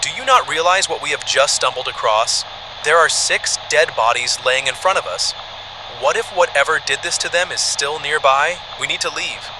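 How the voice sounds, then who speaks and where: A man's voice: a little high, moderate pace, natural voice. Anxious male ranger amid noisy ambience acted. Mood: anxious, reluctant. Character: anxious, wary male park ranger wanting to flee. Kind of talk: amateur audio horror drama acting.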